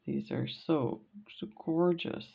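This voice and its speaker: A woman's voice: low voice, slow, creaky, dull audio. A middle-aged woman with a Canadian accent chatting casually and gushing as she unboxes beads for her vlog, with plenty of fillers. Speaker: beading vlogger.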